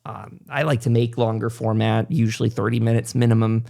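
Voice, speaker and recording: A man's voice: medium pitch, little pitch movement, a little fast, creaky, warm tone. A man hosting a podcast in a casual, conversational way, informal and encouraging as he rambles along. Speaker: podcast host.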